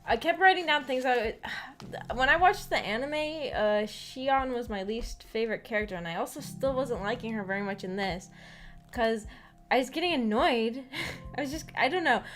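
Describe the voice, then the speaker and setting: A woman with an American accent chatting casually to viewers as a book club streamer, in a giggly, halting, tentative manner. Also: fairly high pitch, expressive, a bit bright. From United States. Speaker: streamer hosting a visual novel book club. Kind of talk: casual chat, discussing a story with viewers. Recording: voice over background music. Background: background music.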